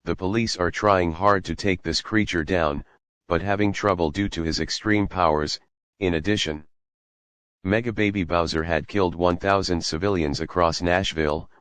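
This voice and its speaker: A man's voice: low-pitched, steady tone, moderate pace, fairly bright tone, clear, resonant tone, no room sound. A man playing a TV news presenter, reading a scripted emergency update. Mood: serious. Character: male TV news presenter giving urgent update. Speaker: news anchor.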